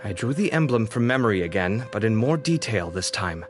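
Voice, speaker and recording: A man's voice: lowish voice, very animated, a little fast. A man playing a young male scholar who talks in a dry, matter-of-fact way. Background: game music.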